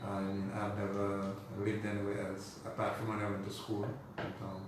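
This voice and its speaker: A man's voice: on the low side, completely flat delivery, moderate pace. A man with a Dominican accent, talking casually as an interview guest in a rambling, conversational way. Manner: rambling, often says "you know". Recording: very echoey room, distant mic, noisy.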